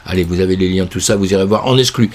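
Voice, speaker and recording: A man with a cold, hoarse and congested, commenting casually on crypto news in a rambling, chatty way, with lots of fillers and restarts. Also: deep voice, quick pace. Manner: chatty, addresses viewers as friends.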